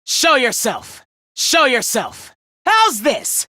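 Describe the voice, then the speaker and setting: An actor playing a sadistic, power-drunk young male fighter in a video game, sneering and theatrical with a raspy, youthful voice. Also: very high-pitched, huge pitch swings, takes it slowly, sharp tone, no echo, clean studio sound, heavily acted. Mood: gleeful contempt. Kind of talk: video game battle shouts.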